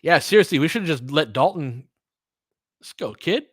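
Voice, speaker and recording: A man's voice: on the high side, lively intonation, moderate pace, fairly bright tone, slightly rough, dry recording. Man chatting casually about sports, very dry sound. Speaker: host of an audio play-by-play fan stream. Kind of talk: casual sports chat, answering listeners during play.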